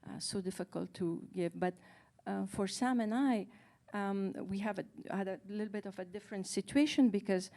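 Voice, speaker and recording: A woman co-translator who is a native Farsi speaker, talking on a panel, hesitant and pausing often to search for words. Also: medium pitch, warm tone. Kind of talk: casual panel talk.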